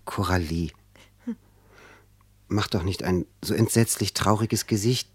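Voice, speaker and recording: A man's voice: low-pitched, measured pace, husky, no room sound. A man acting in a German radio play as an ambitious young writer, a devoted but anxious and bitter lover. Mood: hopeful, persuading.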